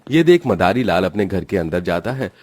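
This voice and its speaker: A man narrating a cartoon moral story in a steady, dramatic storytelling style. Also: low-pitched, moderate pace, soft background music.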